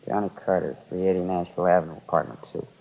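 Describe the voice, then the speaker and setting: A man's voice: sits low, steady tone, racing, gravelly. A man playing an uneasy American petty thief under questioning in a 1950s radio drama, hesitant, colloquial and defensive. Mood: reluctant. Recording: dull, reverberant vintage radio recording.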